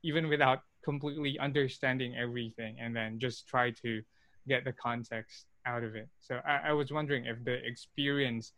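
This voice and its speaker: A man chatting casually and asking questions, relaxed, with frequent fillers. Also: mid-range voice, moderate pace, dry recording. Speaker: conversation partner asking questions. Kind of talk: casual conversation.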